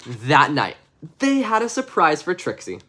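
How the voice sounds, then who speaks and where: A man reads a children's bedtime story aloud. His pitch moves around a great deal as he narrates expressively, and he slips into casual, chatty asides around the text. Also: wildly swooping pitch, unhurried, pushed and bright. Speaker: storybook reader.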